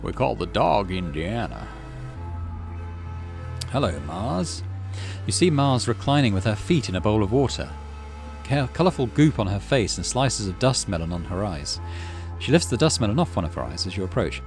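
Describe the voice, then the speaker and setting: A man's voice: fairly low pitch, big pitch swings, moderate pace, soft background music. A man hosting a gaming channel, narrating a visual novel playthrough aloud.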